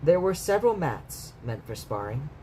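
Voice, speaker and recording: A man's voice: fairly high pitch, sing-song, normal speed, mellow, low-quality recording. Man reading a story over background noise. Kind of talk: bedtime story read-aloud, scripted narration.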